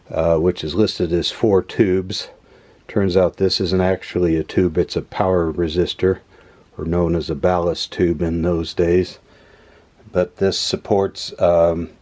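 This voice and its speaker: A man giving a casual hands-on explanation as a hobbyist host, pausing mid-phrase as he thinks aloud. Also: deep voice, a little mellow. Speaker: host of a vintage electronics repair channel.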